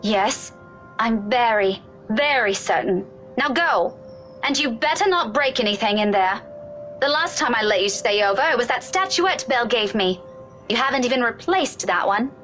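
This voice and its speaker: A woman's voice: fairly high pitch, expressive, normal speed, fairly bright tone, faint music behind. A woman voicing a sarcastic, exasperated young woman in a visual novel. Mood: stern. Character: young woman, sharp-tongued, fed up. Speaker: game narrator and player.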